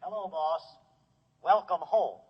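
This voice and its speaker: Husky, devoted radio-play robot. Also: male voice, on the high side, takes it slowly. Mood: dutiful. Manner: deferential, earnest. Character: loyal, militant male household robot, eager and devoted. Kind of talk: 1950s radio drama acting. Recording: muffled vintage radio recording.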